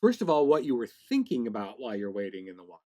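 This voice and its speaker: A man's voice: mid-range voice, wildly swooping pitch, quick pace, mellow, muffled recording. A man hosting a casual podcast interview, conversational and wry, with dry humour.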